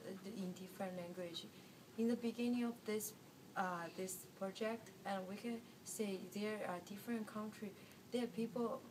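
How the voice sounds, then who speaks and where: A young woman from China with a Chinese accent, giving a class seminar presentation in a halting way with frequent restarts. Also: medium pitch. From Guiyang, Guizhou, China. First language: Mandarin Chinese. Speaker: student presenter. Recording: distant mic with room noise.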